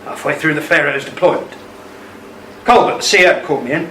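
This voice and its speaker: A man's voice: on the high side, a little fast, sharp tone. A man playing an older ex-army husband in a radio play, regretful and haunted by a lost love, speaking with hesitant emotion. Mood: haunted, bitter. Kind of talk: amateur radio play acting. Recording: roomy, distant mic, faint noise.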